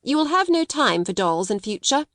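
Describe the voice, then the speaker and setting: A woman's voice: high voice, big pitch swings, normal speed, no room sound, voice pushed up, full character voice. A woman reading the part of a stern, domineering headmistress, speaking coldly and sharply. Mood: scornful. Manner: cold, sharp, scolding. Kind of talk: audiobook character reading.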